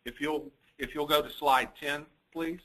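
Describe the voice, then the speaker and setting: A man's voice: fairly high pitch, fairly even intonation, unhurried, sharp tone, slight background noise, low-quality recording. A man speaking as a chief financial officer, giving a businesslike, matter-of-fact financial report on a conference call.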